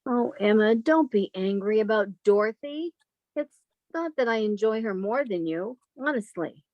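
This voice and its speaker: A woman's voice: on the high side, lively intonation, normal speed, no room sound, lo-fi sound, natural voice. A woman playing a sheltered, timid, wistful woman of thirty-five in an old-time radio drama, plaintive and questioning. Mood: apologetic.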